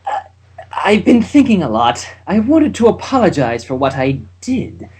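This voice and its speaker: A man voicing a nervous, clingy female unicorn showmare, speaking softly and slowly with a flirty edge in a fan fiction reading. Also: high voice, very animated, husky, heavily acted. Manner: soft, slow, slightly flirty.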